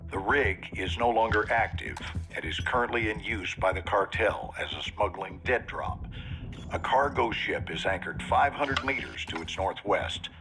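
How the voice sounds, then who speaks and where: A man's voice: lowish voice, normal speed, over music, natural voice. A man playing a stern military officer who reads a mission briefing with clipped, matter-of-fact authority in a game. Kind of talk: scripted military briefing narration.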